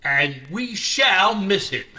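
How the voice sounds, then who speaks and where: A man's voice: high voice, big pitch swings, slow pace, sharp tone, full character voice. A man playing a pious, long-winded small-town reverend, preachy and sanctimonious, in an old-time radio drama.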